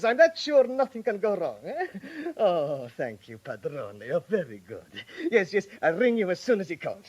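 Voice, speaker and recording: An excitable, boastful Italian gangster in a radio comedy. The voice is very high and swings widely, with a theatrical Italian accent that turns from grand to fawning. The sound is a little dull. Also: male voice, heavily acted. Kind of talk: comic radio play acting.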